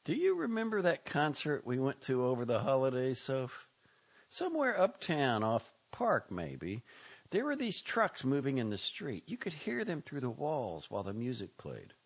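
A man voicing a blunt, exasperated young man who lectures his friend loudly and impatiently. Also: mid-range voice, huge pitch swings, normal speed, gravelly, breathy edge, dull audio, voice pushed up, heavily acted. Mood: wry. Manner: loud, sharp and impatient.

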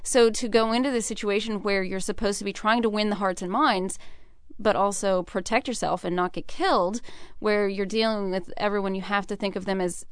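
A woman's voice: mid-range voice, fairly even intonation, a little fast, smooth, no echo, clean studio sound. A young American woman giving earnest, thoughtful and articulate answers as an interview guest. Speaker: interview guest, military veteran.

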